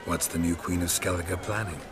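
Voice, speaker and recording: An actor playing a gruff, terse monster hunter in a fantasy game, deadpan. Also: male voice, sits low, a little fast, natural voice. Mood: dry. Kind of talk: game cutscene acting.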